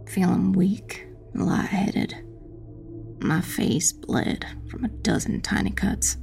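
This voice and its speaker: A woman playing a determined witch who narrates a dark fantasy audiobook in an intense, dramatic, breathy voice with heavy creak. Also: medium pitch, heavily acted. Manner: intense, hushed, dramatic first-person narration. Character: determined young witch telling her own battle.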